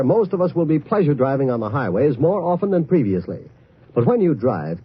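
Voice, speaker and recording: A man reading a scripted 1950s public-service announcement as a radio announcer, earnest and deliberate. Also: mid-range voice, slight background noise. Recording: dull old radio recording.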